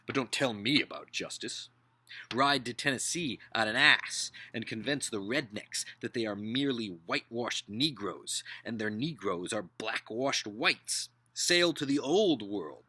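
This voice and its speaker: A man's voice: medium pitch, lively intonation, unhurried, pushed and bright, breathy edge, dry recording, full character voice. Man acting a thundering, scornful older patriarch. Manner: declamatory, contemptuous, emphatic. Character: scornful older upper-class father-in-law, loudly mocking idealism. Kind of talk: dramatic book reading.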